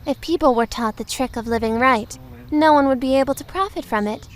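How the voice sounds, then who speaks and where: A woman playing a mysterious thirteen-year-old telepath girl who speaks like a wise old sage, solemn and measured, in an audio drama. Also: sits high, a little hiss or hum, natural voice. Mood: earnest, lecturing. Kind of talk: scripted audio drama acting.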